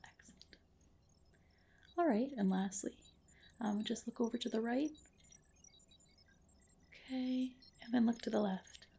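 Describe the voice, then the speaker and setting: A woman whispering softly and breathily in an ASMR roleplay as a spa skin specialist, gently explaining and reassuring. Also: a little high, a little slow, gravelly, long pauses, slightly rough. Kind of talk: ASMR roleplay, personal attention.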